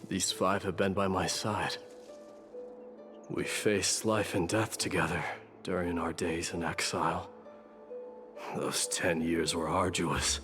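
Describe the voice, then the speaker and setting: Deep, solemn warrior prince. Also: male voice. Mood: solemn, nostalgic. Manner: solemn, measured, reflective. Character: stoic exiled warrior prince, solemn, mourning fallen comrades. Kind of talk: dramatic scripted game narration.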